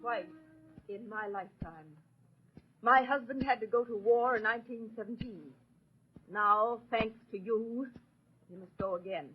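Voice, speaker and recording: An actress playing a resolute, sorrowful middle-aged American mother, speaking slowly and solemnly in a 1940s radio monologue. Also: a little high, natural voice. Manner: solemn, deliberate. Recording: muffled, slightly noisy old radio recording.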